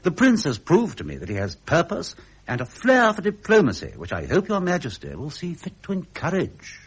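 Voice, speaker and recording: An actor playing a deferential foreign secretary with an upper-class British accent, formal and courtly, in period radio drama style. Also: male voice, on the high side, huge pitch swings, normal speed, breathy edge, heavily acted. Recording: muffled vintage radio recording.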